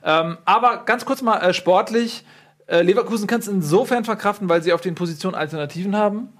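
Man, fairly high voice, football podcast host, casual chat, ironic jokes, lots of fillers. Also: fairly quick. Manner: joking, ironic asides, many fillers.

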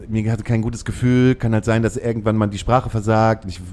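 A man's voice: on the low side, little pitch movement, fairly quick, darkish tone, slight background noise. A man hosting a podcast, casually chatting with and teasing his guest. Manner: playful, teasing. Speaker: podcast host. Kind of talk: casual interview chat.

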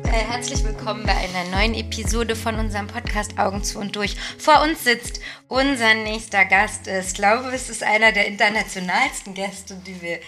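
A woman's voice: medium pitch, moderate pace, bright, forceful tone, faint music behind. A woman hosting a podcast, introducing and questioning in a conversational interview style. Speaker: podcast host.

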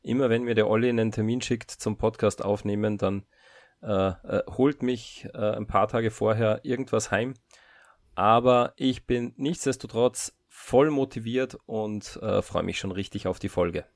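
A sick male co-host with a slightly hoarse, gravelly voice. He rambles and jokes casually. The sound is dry. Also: fairly low pitch, a little slow, no room sound. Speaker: podcast co-host. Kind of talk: casual podcast chat.

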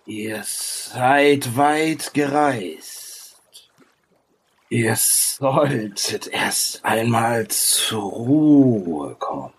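Slow, husky ancient reptilian sage. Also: male voice, mid-range voice, animated, exaggerated voice. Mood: solemn. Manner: slow, drawn-out, pompous delivery. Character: ancient, arrogant, weary male reptilian sage with claws. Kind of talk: in-character tabletop roleplaying.

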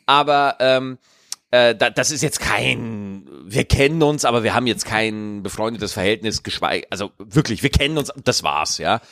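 A man co-hosting a podcast in casual chat, jokey and animated, swinging his pitch for emphasis. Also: moderate pace, no echo, studio quality. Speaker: podcast co-host.

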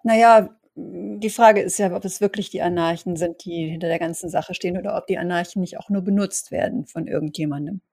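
A woman playing a shrewd, calm vampire in an audio drama, speaking in a measured, thoughtful way. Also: on the low side, gravelly, slightly rough, dry recording. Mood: thoughtful, polite. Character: shrewd, calm female vampire with criminal contacts. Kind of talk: improvised role-play audio drama dialogue.

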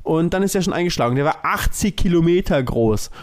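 Male podcast co-host, somewhat high-pitched, informal explanatory chat, matter-of-fact delivery. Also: lively intonation, brisk.

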